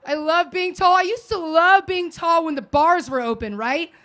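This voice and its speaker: An American woman performing stand-up with crowd work, brash, loud and self-mocking. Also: very high voice, moderate pace. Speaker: stand-up comedian.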